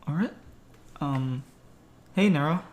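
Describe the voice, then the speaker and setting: A man hosting a podcast, speaking casually and a little haltingly with an agreeable manner. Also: fairly high pitch, expressive. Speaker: podcast host. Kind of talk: casual conversational interview.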